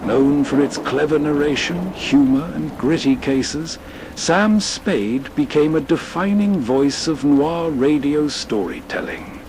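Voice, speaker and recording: A man giving a scripted late-night radio host introduction in a measured, soothing manner. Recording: faint train rumble behind voice. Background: train ambience.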